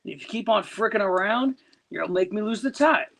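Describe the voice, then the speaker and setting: A stubborn, salty fisherman snaps back at everyone who bothers him, voiced by a man reciting a rhyme. The voice is fairly high for a man and plainly defiant. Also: lively intonation, brisk, gravelly, a bit bright, heavily acted. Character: stubborn, feisty male fisherman.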